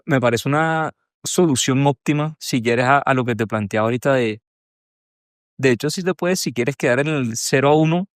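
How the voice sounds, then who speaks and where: A man with a Colombian accent hosting a podcast interview, at a middling pitch. He jokes and nudges his guest along with halting, stop-start questions. The sound is dry and close. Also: no room sound. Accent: Colombian Spanish. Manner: joking, prompting, halting questions. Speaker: podcast host. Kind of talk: conversational interview.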